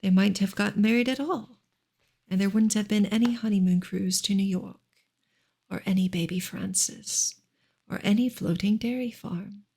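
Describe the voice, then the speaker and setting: A woman reads a novel aloud in a soft, hushed ASMR style, sometimes close to a whisper. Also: medium pitch, normal speed, creaky, husky. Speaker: reader on an ASMR channel. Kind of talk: soft ASMR audiobook reading.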